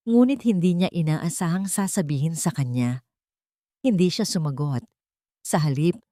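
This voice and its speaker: A woman's voice: low-pitched, dark tone, no echo, clean studio sound. A woman reading a scripted, dramatic story as narrator.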